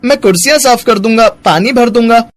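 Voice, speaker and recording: A poor ten-year-old cartoon schoolboy, played by a man, with a very high voice. He speaks politely and earnestly, full of gratitude, in a moral story. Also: a little fast, no echo, studio quality. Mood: earnest, troubled. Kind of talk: animated moral story dialogue.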